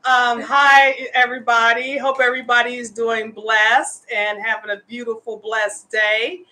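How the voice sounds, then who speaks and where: A woman's voice: on the high side, level tone, measured pace, sharp tone, low-quality recording. A woman in her fifties hosting a casual live show, speaking with earnest, impassioned conviction as an advocate. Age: 50s. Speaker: host, homeless women's advocate. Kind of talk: casual livestream talk.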